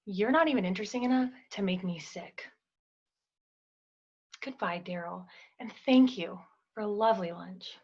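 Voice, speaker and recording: An actress playing a prim, scornful woman with a precise, deliberate, cutting delivery in a theatrical monologue. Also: medium pitch, a little mellow, dull audio, close to an everyday voice. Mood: withering disgust. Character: prim, scornful woman bluntly rejecting a suitor.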